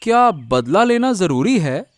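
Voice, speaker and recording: A man voicing an eager, kind young village son in a cartoon, excitable and prone to stammering. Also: high voice, measured pace. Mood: earnest. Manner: excitable, stammers when flustered. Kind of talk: Hindi cartoon dubbing.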